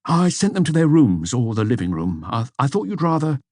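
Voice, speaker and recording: Guy doing a hesitant apologetic cop reporting to his boss, higher voice that moves around a lot. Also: big pitch swings, fairly quick, a little mellow, dry recording, studio quality. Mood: hesitant, deferential. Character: hesitant, deferential male police inspector giving a report. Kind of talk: audiobook character dialogue.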